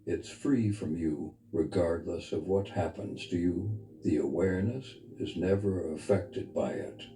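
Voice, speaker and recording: A man leading a guided meditation as a spiritual teacher, speaking in a soft, intimate, earnest and unhurried way. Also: low-pitched. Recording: reverberant voice over background music. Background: music.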